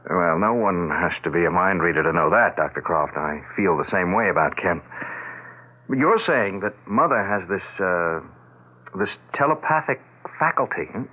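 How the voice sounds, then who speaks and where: Protective, doubting grown son, blunt and highly animated, seventies radio play with narrow tinny sound. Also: male voice, normal speed. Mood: questioning. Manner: questioning, blunt. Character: skeptical, protective adult son and young father. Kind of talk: 1970s radio drama acting. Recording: tinny, phone-like vintage radio broadcast.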